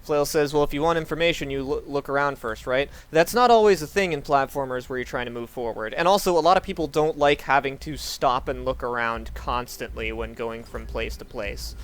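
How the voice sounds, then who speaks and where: A man giving casual, halting live commentary as he streams a video game, often repeating words and restarting. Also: medium pitch. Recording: clearly noisy stream audio.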